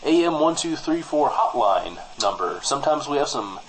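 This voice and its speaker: A man playing a wry small-town nephew in improvised comedy banter, speaking hesitantly with dry, deadpan wit. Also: mid-range voice, normal speed, close to an everyday voice, low-quality recording. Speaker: podcast co-host playing a character.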